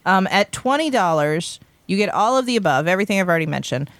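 A woman hosting a podcast, chatting casually through a fundraising pitch with restarts and light laughs. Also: animated, fast, no room sound. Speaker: podcast host. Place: small recording studio.

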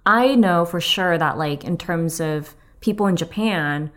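Young woman, very low voice, casual podcast chat, full of "like" and "um" fillers, hesitant. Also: a little slow, a bit bright. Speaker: guest.

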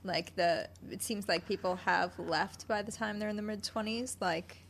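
A woman's voice: mid-range voice, slight background noise. A woman co-hosting a podcast, introducing a guest and teasing in casual chat. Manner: teasing, quick to laugh. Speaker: podcast co-host. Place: indoors, at the guest's home.

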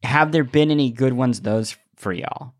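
A man co-hosting a podcast in casual discussion, thinking aloud with animated enthusiasm. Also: mid-range voice, normal speed. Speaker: podcast co-host.

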